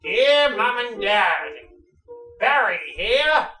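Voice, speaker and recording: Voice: mid-range voice, lively intonation, extremely slow, sharp tone, echoey room, distant mic, soft background music, exaggerated voice. A performer voicing a grumpy, weary geriatric puppet who complains in a laboured way, in improvised comedy. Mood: grumpy complaining.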